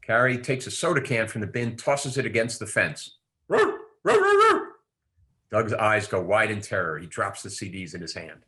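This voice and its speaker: A man's voice: lowish voice, moderate pace, long pauses, pushed and bright. A man reading script stage directions aloud at a table read.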